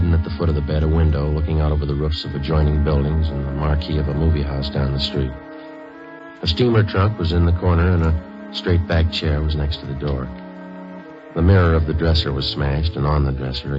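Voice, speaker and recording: Terse police detective, very deep voice, dry and factual, vintage radio crime drama, muffled sound. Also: male voice, brisk. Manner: clipped, deadpan, matter-of-fact. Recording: dull old radio recording, music behind.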